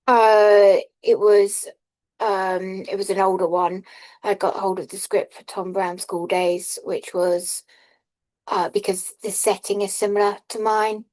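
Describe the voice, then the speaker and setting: A woman on a video call describing her screenplay research, hesitant and full of fillers, with a flat delivery. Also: mid-range voice, unhurried, low-quality recording. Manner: hesitant, many fillers, self-deprecating laughs. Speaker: workshop participant. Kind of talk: casual chat in an online workshop.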